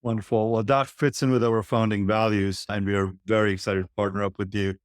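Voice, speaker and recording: A man's voice: lowish voice, level tone, brisk, no room sound, clean studio sound. A man hosting a podcast, asking questions and plugging the show in a friendly way. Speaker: podcast host. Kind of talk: podcast interview.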